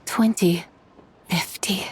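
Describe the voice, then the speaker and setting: A woman's voice: lowish voice, fairly even intonation, takes it slowly, in a whisper. An actress playing a composed, literal-minded, scholarly woman in a video game, formal and serenely matter-of-fact. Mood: calculating. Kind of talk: video game character acting.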